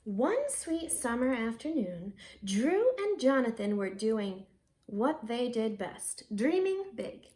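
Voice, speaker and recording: A woman teacher giving a read-aloud lesson for children, expressive and deliberately stressing key words. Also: fairly high pitch.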